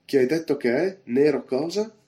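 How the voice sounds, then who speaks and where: A man voicing a bluff one-legged pirate innkeeper in a storybook, loud and emphatic, firing off orders and questions. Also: a little high, moderate pace, pitched above the performer's own voice, natural voice. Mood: angry, stern. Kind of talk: audiobook character voice.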